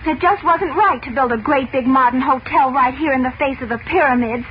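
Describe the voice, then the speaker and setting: An excitable, chatty teenage girl, voiced by a woman in a 1940s radio drama. Her voice is bright and high. The broadcast sound is dull and hissy. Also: a little fast, natural voice. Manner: bubbly, excitable. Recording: muffled old radio broadcast with hiss.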